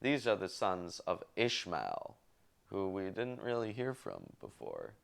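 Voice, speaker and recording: Slow, low-voiced man reading aloud. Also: huge pitch swings, creaky. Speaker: show host reading scripture aloud. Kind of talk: halting read-aloud of Bible genealogy with casual asides.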